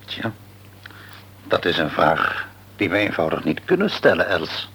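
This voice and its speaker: An actor playing a middle-aged, devout father in a radio drama, reasoning in a measured way. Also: low-pitched, very animated, vocal fry, pushed and bright, husky, full character voice. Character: thoughtful, pious father.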